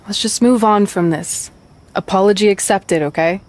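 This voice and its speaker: A woman's voice: mid-range voice, normal speed, a little mellow, lightly acted. Woman as a frank, wry young wrestler. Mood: understanding. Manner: direct, wry. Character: loyal, frank young female wrestler, wry best friend. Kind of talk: video game cutscene acting.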